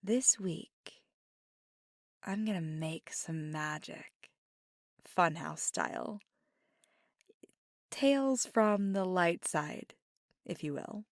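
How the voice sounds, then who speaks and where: A woman voicing a playful, mischievous, kindly witch in an intimate, unhurried ASMR monologue that slips into near-whispers. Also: lowish voice, expressive, creaky, dry recording, studio quality, heavily acted. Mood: playful, sly. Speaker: ASMR audio performer.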